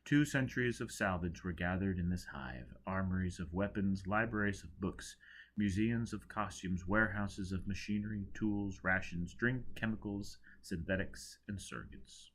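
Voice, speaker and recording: A man reading an audiobook, narrating dramatically with a theatrical, expressive delivery. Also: low-pitched, normal speed. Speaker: audiobook reader.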